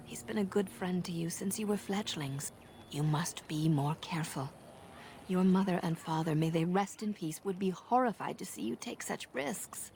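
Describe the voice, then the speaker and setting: A woman playing a grave, protective elven clan elder in a video game, speaking in a measured, gently scolding way. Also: on the low side, gravelly, a little hiss or hum. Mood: worried reproach.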